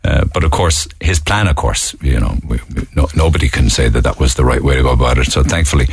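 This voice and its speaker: An Irish man hosting a talk radio show, reading listener texts and taking calls in a measured, earnest way. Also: a bass voice, vocal fry, slightly rough, no echo. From Ireland. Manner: measured, earnest, with frequent fillers. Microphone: studio microphone.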